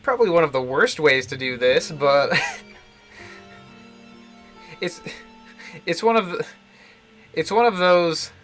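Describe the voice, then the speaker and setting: Bright, wry man commenting on a game. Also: a little high, expressive, slow, pauses often. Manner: amused, wry, frequently chuckling. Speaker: streamer playing and commenting on a visual novel. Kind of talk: casual live game commentary. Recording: music playing behind the voice. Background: visual novel music and Japanese voice acting.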